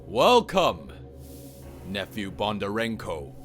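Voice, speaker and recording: A man's voice: lowish voice, very animated, takes it slowly, fairly bright tone, faint music behind, exaggerated voice. A man playing a loyal, alert alien officer in a science fiction audio drama, with a formal, clipped military delivery. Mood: formal, guarded.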